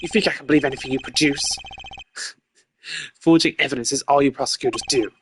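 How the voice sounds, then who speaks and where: A man's voice: mid-range voice, a little fast, a bit bright, slight background noise, natural voice. A man voicing a cocky, suspicious video game agent, taunting and confrontational, with music under the voice. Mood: accusing. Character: cocky, suspicious male agent, self-styled wolf. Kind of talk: video game character dub.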